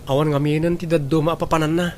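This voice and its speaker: An actor plays an earnest young boyfriend in a radio drama. His voice is fairly high for a man, dutiful and apologetic. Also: level tone, fast, darkish tone, a little hiss or hum, close to an everyday voice.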